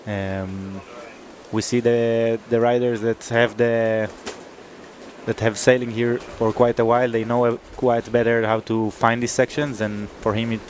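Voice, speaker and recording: A man speaking in an even, flat tone adds expert co-commentary, pausing often and making small grammar slips in English. Faint outdoor noise sits behind his voice. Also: medium pitch. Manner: non-native English with grammar slips, hesitant.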